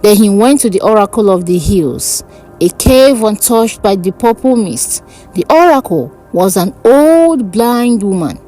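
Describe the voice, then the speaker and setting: A woman narrating a dark fantasy folk tale in a dramatic, deliberate way. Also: mid-range voice, animated, low-quality recording. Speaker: storyteller. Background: background music.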